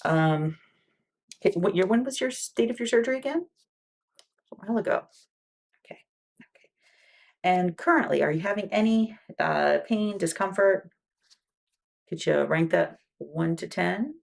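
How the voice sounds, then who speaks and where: A low-pitched woman with a husky, slightly gravelly voice plays a wound care nurse in an ASMR roleplay. She talks softly and patiently, explaining each step. Between lines she often drops to near-whispered "okay"s. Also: moderate pace, long pauses. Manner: soft-spoken, patient explanations with frequent "okay"s.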